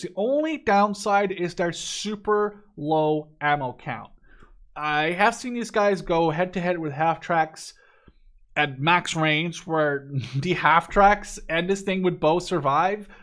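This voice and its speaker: A man's voice: fairly high pitch, a bit bright. A man in his twenties from the Netherlands giving casual, opinionated game review commentary in informal language with some swearing. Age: 20s. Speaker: gaming video host.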